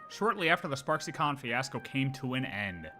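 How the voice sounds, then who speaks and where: Male game streamer: bright, forward voice, casual jokey slang, game music underneath. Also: mid-range voice, big pitch swings, normal speed. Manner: jokey, slangy, reads dialogue aloud. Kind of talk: casual live game reaction chat. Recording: music behind the voice.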